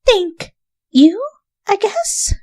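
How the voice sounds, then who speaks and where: A woman's voice: very high voice, big pitch swings, drawn-out words, a little mellow, no room sound, clean studio sound, pitched above the performer's own voice, exaggerated voice. A woman voicing a refined, genteel female pony in a story reading, polite and formal. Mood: polite, bemused.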